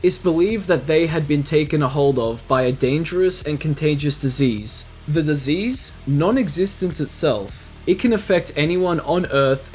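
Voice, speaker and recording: Higher-pitched male video essay narrator over music. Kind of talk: scripted narration, fan commentary on monsters.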